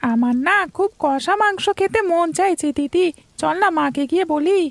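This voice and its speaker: A woman's voice: very high voice, expressive, normal speed, gravelly, no echo, exaggerated voice. A woman voicing a small, hungry, pleading village child in a cartoon story. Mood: eager, craving.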